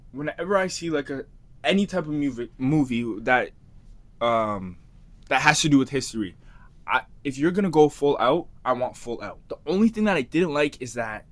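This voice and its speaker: A man chats casually as a podcast co-host, talking with enthusiasm. He rambles, restarts his thoughts, and fills pauses with fillers as he works through his opinion. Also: fairly high pitch, lively intonation. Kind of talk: casual chat.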